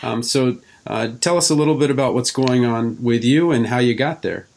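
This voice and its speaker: A man's voice: medium pitch, normal speed, gravelly, a little mellow. A middle-aged man hosting a casual interview, asking rambling questions that he rephrases as he goes. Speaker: podcast host, interviewer.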